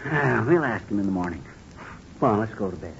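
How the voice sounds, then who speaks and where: An actor playing an excitable, gullible middle-aged husband in 1940s radio comedy, with blustery, folksy exclamations. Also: male voice, on the low side, fairly quick, gravelly. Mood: folksy, puzzled. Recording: muffled, faintly noisy 1940s radio recording.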